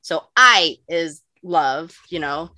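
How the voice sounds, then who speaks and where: Chatty woman cohost, bright clear voice, says like a lot, dry close sound. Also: deep voice, lively intonation, slow pace, dry recording. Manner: chatty, lots of "like" and "you know"; laughs often. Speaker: podcast co-host. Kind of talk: casual podcast chat, explaining nutrition.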